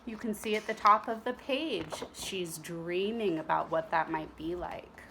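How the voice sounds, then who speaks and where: A woman's voice: fairly low pitch, measured pace, a bit bright, a little hiss or hum, low-quality recording. A woman narrating a children's storybook expressively, with teacherly asides to young listeners. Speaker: storybook reader.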